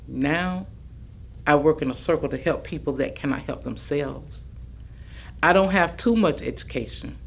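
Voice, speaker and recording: An actress playing a steadfast older civil rights veteran, plainspoken and deliberate, in a staged reading with a creaky voice. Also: female voice, sits low. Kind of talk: staged reading of oral history testimony.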